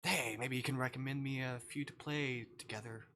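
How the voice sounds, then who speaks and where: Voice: mid-range voice, steady tone, moderate pace, fairly bright tone, slight background noise. A game streamer chatting casually to viewers, hesitant and playful, often muttering to themselves. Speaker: livestreamer. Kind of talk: casual live game stream chat, reading on-screen dialogue aloud.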